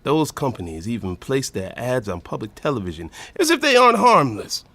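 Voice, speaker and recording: An older, retired professor with a zealot's preachy streak. His pitch leaps and dips as he rambles on in earnest, emphatic indignation. It is an audio drama performance, recorded close and dry. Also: wildly swooping pitch, fairly quick, sharp tone, no room sound, full character voice. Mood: earnest, rambling.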